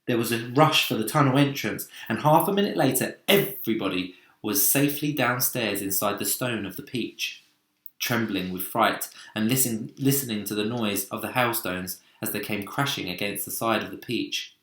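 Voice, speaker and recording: A man's voice: on the low side, moderate pace, a bit bright. A man narrating a children's storybook expressively. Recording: roomy, echoing sound.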